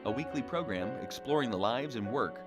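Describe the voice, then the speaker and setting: A man reads the scripted opening of a weekly radio program in a measured, formal announcing voice. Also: medium pitch, animated. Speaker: show announcer. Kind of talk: scripted show intro. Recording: loud music behind.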